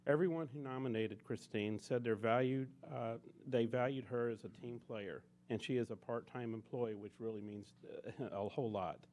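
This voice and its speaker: A man's voice: medium pitch, moderate pace, few pauses, darkish tone. A man at a public board meeting presenting an employee award, reading an earnest tribute with frequent restarts and fillers.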